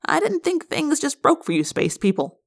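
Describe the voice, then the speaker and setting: A woman's voice: sits high, wildly swooping pitch, moderate pace, gravelly, voice pushed up, heavily acted. A woman voicing a wisecracking teenage boy with a terse, harsh manner in an audiobook. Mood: flippant, harsh.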